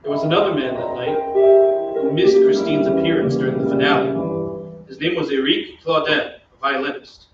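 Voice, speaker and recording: Man playing an earnest gentleman storyteller, echoey. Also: fairly high pitch, moderate pace, over loud music, natural voice. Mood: reflective, narrating. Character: reflective gentleman narrator and suitor, earnest. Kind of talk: radio drama narration and dialogue. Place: theatre stage, live reading. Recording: echoey stage, distant mic, muffled, hiss.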